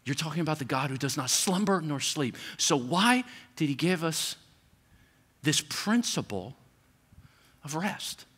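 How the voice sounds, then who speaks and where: A middle-aged man preaches with a bright, forceful tone and a slightly high voice. He talks to the congregation like a friend, stacking repeated phrases as his intensity climbs. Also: lively intonation, unhurried, breathy edge. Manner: preaching with rising intensity and repetition, conversational asides. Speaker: pastor. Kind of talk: sermon.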